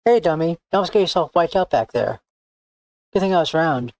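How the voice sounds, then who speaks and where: A man's voice: fairly high pitch, expressive, moderate pace, a little mellow, no echo. A man voicing a cocky, bossy young woman tracker, boastful and blunt, reading visual novel game dialogue. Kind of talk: character read-aloud of game dialogue. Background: background music.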